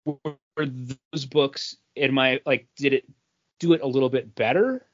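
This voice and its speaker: The recording is a little dull and band-limited. A man with a middle-range voice chats casually as a podcast co-host reviewing comics. He speaks haltingly, working out his thoughts as he goes. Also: medium pitch. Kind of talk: casual chat.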